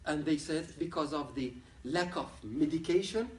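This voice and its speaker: A man from Ahwaz gives a conference lecture as a human rights activist. He speaks in earnest, halting English. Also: fairly high pitch. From Ahwaz, southwestern Iran. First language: Arabic. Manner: earnest, plain-spoken, halting non-native English. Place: university lecture room. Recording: echoey room, picked up from a distance.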